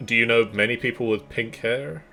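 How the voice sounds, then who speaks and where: A man's voice: mid-range voice, a little fast, creaky, sharp tone. A man giving wry, teasing casual commentary as co-host of a game stream. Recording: game music behind.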